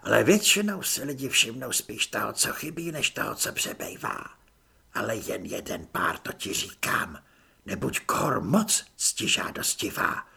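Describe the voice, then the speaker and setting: A hoarse stranger hidden in the dark talks slowly and patiently, now and then sinking to a whisper. His low voice carries a gravelly rasp, put on by a male audiobook reader. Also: big pitch swings, exaggerated voice. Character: hoarse mysterious man hiding in the dark.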